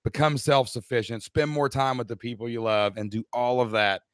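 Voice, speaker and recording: A middle-aged man, hoarse-voiced, co-hosting a live online Q&A and talking straight to the chat audience in an upbeat way. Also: medium pitch, steady tone, a little fast, fairly bright tone, no room sound, clean studio sound.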